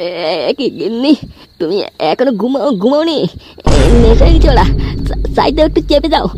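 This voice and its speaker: Cartoon drunkard husband, male actor: high, fast, foul-mouthed, slurring, slight background noise. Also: animated. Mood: drunk, sleepy. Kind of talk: amateur comedy cartoon voice acting.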